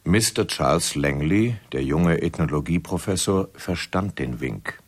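A man's voice: low-pitched, expressive, a little slow, breathy edge, full character voice. A man reading scripted narration for a radio drama in a storytelling style.

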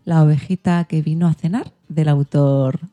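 A woman's voice: sits low, fairly even intonation, normal speed, mellow. A woman with a Spain accent hosting a podcast in a casual, chatty introduction style. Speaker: podcast host.

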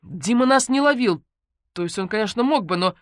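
A woman voicing a brash, evasive young heroine in audiobook dialogue, brisk and colloquial. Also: a little high, expressive, dry recording, clean studio sound, pitched above the performer's own voice, close to an everyday voice.

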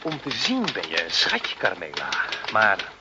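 A man's voice: lowish voice, huge pitch swings, a little slow, full character voice. An actor playing a cocky, impatient working-class man in a radio drama, blunt and teasing. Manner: brash, blunt. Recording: dull, noisy old radio recording.

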